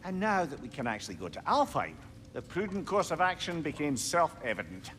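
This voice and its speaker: An actor voicing a witty old disembodied head, who tells stories in a wry, lilting way. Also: male voice, fairly high pitch, big pitch swings, fairly quick, a bit bright, faint music behind. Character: witty wise disembodied head, older male.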